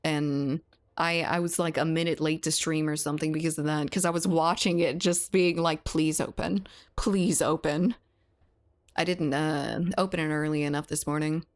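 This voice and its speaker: Woman game streamer, slightly low voice, chatty and laughing, close desk mic. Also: steady tone, moderate pace. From South Louisiana, US. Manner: chatty, laughs often, reads and answers chat. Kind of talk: casual live-stream chat while gaming.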